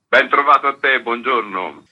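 A man's voice: medium pitch, moderate pace, pushed and bright, husky, dull audio. A man speaking as an interview guest, explaining an arts programme as its curator. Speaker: guest, festival curator and theatre director.

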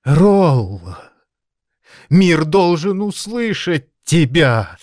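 An actor playing an excitable, sentimental elderly maestro pianist in an audio drama, theatrical and halting. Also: male voice, a little high, dry recording, clean studio sound, exaggerated voice. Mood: pleading.

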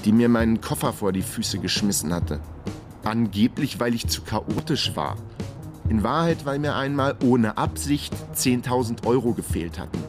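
A man's voice: mid-range voice, animated, moderate pace, natural voice. An actor playing a weary, chaotic investigator who narrates his own radio crime story with dry, wry understatement. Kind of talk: radio crime drama first-person narration. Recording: radio production with prominent music bed. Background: music.

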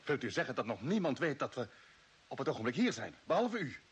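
An actor playing an indignant, forthright space commander in an older Dutch radio play, husky and forceful. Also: male voice, medium pitch, sing-song, fairly quick. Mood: tense, questioning.

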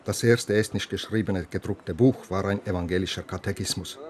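A man acting as a proud Estonian policeman in a radio play. His voice is fairly low, his delivery formal and slightly condescending, with faint noise behind him. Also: fairly even intonation, a little fast, darkish tone, natural voice. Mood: cool, proud. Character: proud, matter-of-fact Estonian policeman questioning a visitor. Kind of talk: German radio crime drama dialogue.

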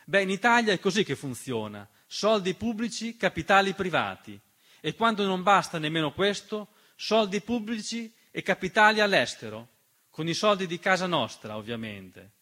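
Guy doing emphatic theatre monologue, sharp bright voice a bit high, piano behind. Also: big pitch swings. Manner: emphatic, rhetorical, with deliberate repetition. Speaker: narrator and writer-performer of a theatre monologue. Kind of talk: dramatic political theatre monologue. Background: piano accompaniment.

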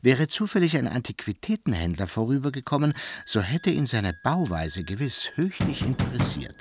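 An actor as a wry storyteller narrating a radio drama in a mock-formal manner. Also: male voice, medium pitch, big pitch swings, moderate pace. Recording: clearly noisy background.

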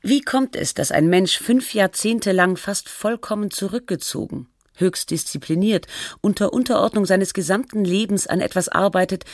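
A performer playing a sober radio documentary narrator, reading a scripted feature in a measured, factual, reflective way. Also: medium pitch, dry recording, clean studio sound, close to an everyday voice. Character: sober documentary narrator telling an artist's life.

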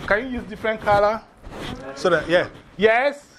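Ghanaian male teacher, animated classroom delivery, high pitch, faint classroom ambience. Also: moderate pace, slight background noise. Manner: lively, repeats and spells out words, laughs often.

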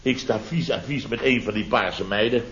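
An actor playing a blustering, sarcastic contractor boss in a radio comedy, theatrical and full of rhetorical tags. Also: male voice, medium pitch, normal speed, fairly bright tone, slight background noise, close to an everyday voice. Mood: exasperated, amused. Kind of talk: late-1960s Dutch radio comedy acting. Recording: muffled vintage radio recording, audience behind.

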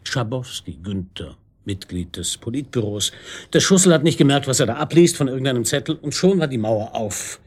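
An actor in a German radio drama playing a wry, sardonic chief detective who questions in a colloquial, insinuating way. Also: male voice, mid-range voice, expressive, fairly quick, a little mellow, breathy edge. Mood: needling. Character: wry, probing, needling male chief police detective.

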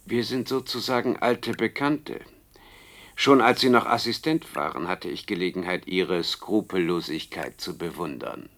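Deep, sardonic disembodied brain. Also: male voice, a little slow, a bit bright. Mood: sardonic, accusing. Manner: dry, reproachful, sardonic. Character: bitter, defiant disembodied brain of a former scientist. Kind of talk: German radio play acting.

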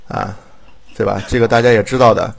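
A man chatting casually as a drama director, with a blunt, dryly teasing and halting delivery. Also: on the low side, steady tone, vocal fry. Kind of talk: casual chat.

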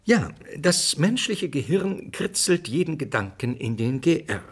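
An actor playing an earnest, didactic scientist being interviewed in a radio drama. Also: male voice, a little high, very animated, measured pace, husky, full character voice. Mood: explaining. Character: earnest male scientist, explaining theory, brusquely dismissive of speculation.

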